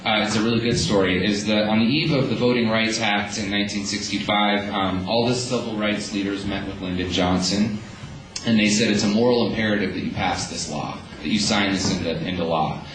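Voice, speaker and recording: A man's voice: on the low side, steady tone, fairly quick, fairly bright tone, slight background noise. A man giving an earnest speech as a community organizer, with many restarts. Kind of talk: speech at a rally. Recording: echoey room, speaker far from mic.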